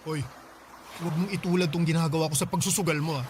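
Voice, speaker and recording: A man's voice: a little high, steady tone, quick pace, slight background noise, close to an everyday voice. A man playing an exasperated, principled husband and protective uncle in a Filipino radio drama, speaking in a firm, reproachful, pleading way with a Filipino accent.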